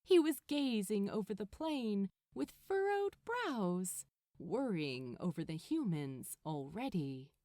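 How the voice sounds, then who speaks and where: A woman reading a story aloud in an expressive storybook narration style. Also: a little high, dry recording, studio quality. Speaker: fan fiction narrator.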